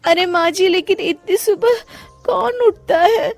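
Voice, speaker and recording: Woman acting a whiny, very high young daughter-in-law. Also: unhurried, soft background music. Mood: whiny protest. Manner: complaining, swooping exclamations. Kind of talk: animated moral story voice acting.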